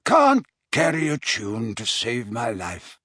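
A man's voice: mid-range voice, wildly swooping pitch, slow pace, fairly bright tone, breathy edge, no room sound, clean studio sound, exaggerated voice. A man voicing a tipsy, weepy old male potions master who talks in an emotional, fretful way with hiccups. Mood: awkward, shaken. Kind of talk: audiobook character voice.

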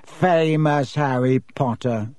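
A man's voice: fairly high pitch, normal speed, darkish tone, no echo, lightly acted. A man voices a spiteful schoolboy bully in a storybook reading. The words come out as a taunting, sneering drawl. The sound is close and dry.

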